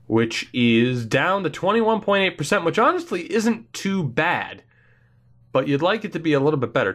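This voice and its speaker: A young man hosting a gaming video, giving excitable, rambling let's play commentary on a hockey video game while congested from a cold. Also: medium pitch. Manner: excitable, rambling, thinks aloud.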